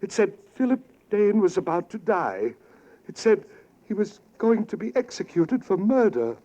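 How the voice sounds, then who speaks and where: Mellow, timid cemetery salesman. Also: high voice, sing-song, normal speed. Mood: flustered. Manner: obsequious, stammering. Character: unctuous, timid cemetery salesman, easily flustered. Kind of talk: 1940s radio drama acting. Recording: muffled 1940s radio broadcast.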